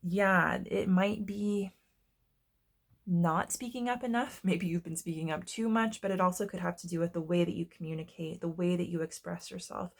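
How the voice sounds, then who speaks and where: A woman giving a casual tarot reading to her viewers, congested and hoarse, chatty and often stopping to search for words. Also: fairly low pitch. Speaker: tarot reader.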